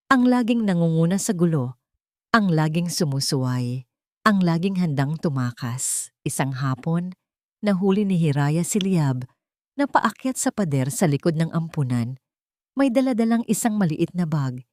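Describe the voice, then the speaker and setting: A woman's voice: fairly low pitch, expressive, moderate pace, darkish tone, no echo, studio quality. A woman with a Filipino accent reading a dramatic love story as a scripted narration.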